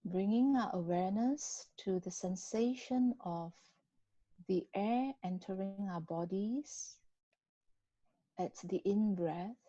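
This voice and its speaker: A woman guiding a body scan meditation in a gentle, unhurried manner with long pauses between phrases. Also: medium pitch, dry recording, muffled recording. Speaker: meditation guide.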